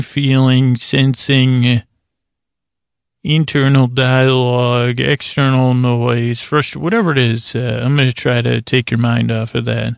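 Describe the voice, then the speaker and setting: A male podcast host delivers a meandering bedtime monologue. His mid-pitched voice is heavily gravelly and flat in intonation, with hesitant pauses and self-corrections. The sound is close and dry. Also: dry recording.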